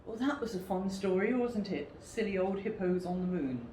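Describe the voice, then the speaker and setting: A woman reading a picture book to children as a storyteller, with deliberate, dramatic emphasis. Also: mid-range voice, lively intonation, warm tone. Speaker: storyteller, teacher. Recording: roomy echo, faint background noise.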